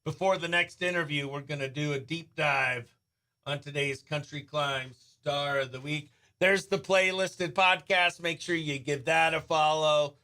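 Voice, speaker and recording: A man hosting a radio show in a breezy, excitable way, chatting and calling out greetings to viewers. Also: a little high, unhurried. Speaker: radio host.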